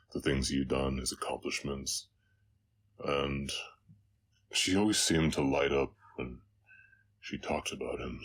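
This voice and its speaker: A man playing a gentle, haunted orc cowboy in an intimate audio roleplay, speaking hesitantly with a slight Southern American accent and a very deep voice. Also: a little hiss or hum. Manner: halting, hesitant, reflective.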